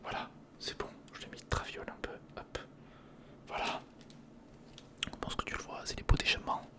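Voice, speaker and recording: Whispering ASMR creator. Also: normal speed. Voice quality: breathy, mostly unvoiced whisper. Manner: self-talking, repeats phrases, informal. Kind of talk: whispered ASMR, casual build commentary. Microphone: close studio recorder microphone.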